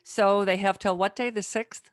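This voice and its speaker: A woman's voice: medium pitch, fairly even intonation, normal speed, no room sound, studio quality. A woman hosting a casual interview, speaking plainly and clarifying details for listeners. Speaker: podcast host, interviewer.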